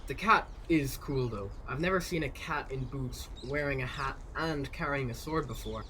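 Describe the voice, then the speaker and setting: A man's voice: mid-range voice, moderate pace, close to an everyday voice. A man playing a reserved, polite teenage boy who works as a kitchen helper, in a children's audio drama. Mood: curious, guarded. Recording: clear background noise.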